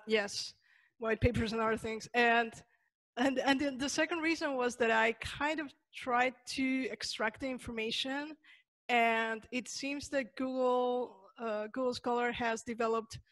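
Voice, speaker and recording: A young woman from Peru, a Spanish native speaker with a Peruvian accent, gives an online lecture over slides, pausing often with fillers and restarts. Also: a little high, fairly bright tone, no echo. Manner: thoughtful, many fillers and restarts. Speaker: webinar presenter.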